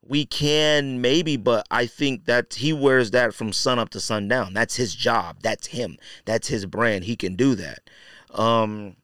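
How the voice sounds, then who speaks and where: Clear-voiced man giving emphatic podcast commentary. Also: mid-range voice, takes it slowly, fairly bright tone, dry recording. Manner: emphatic, repeats phrases for stress; colloquial, slangy phrasing. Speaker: podcast host. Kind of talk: casual opinion commentary on sneakers.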